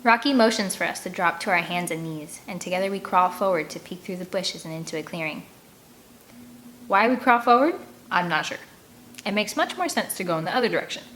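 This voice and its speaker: A woman reading an audiobook aloud as host, with expressive narration and casual delivery. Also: fairly low pitch, slight background noise, rough recording.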